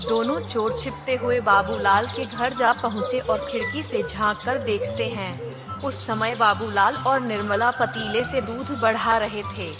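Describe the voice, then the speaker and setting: Woman reading out a cartoon story, steady and clear, voice a little high, music under it. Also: a little slow. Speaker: narrator. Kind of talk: scripted storybook narration.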